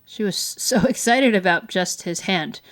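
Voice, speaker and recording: A woman's voice: medium pitch, measured pace. A woman co-hosting a family show as a parent, speaking in a casual, conversational style.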